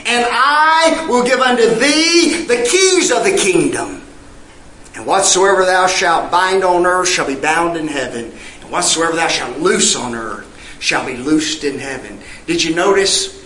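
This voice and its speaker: Emphatic man preaching, high bright voice. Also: expressive, a little slow, echoey room, slight background noise, poor audio. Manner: emphatic, rising and falling delivery with rhetorical questions; reads scripture in King James wording. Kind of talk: sermon.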